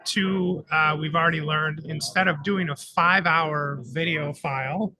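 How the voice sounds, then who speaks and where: A man co-hosting a live radio talk show, chatting in an upbeat, storytelling way. His voice is sharp and forceful, sitting a bit high for a man. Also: fairly even intonation, unhurried, poor audio. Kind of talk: casual live radio chat. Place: conference floor broadcast.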